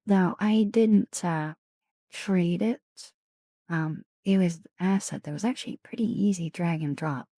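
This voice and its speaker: A woman streamer chatting casually with viewers in a soft ASMR style, her voice raspy and deeper than usual, often slipping into whispers. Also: measured pace, no room sound, clean studio sound. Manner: chatty, greets and thanks viewers.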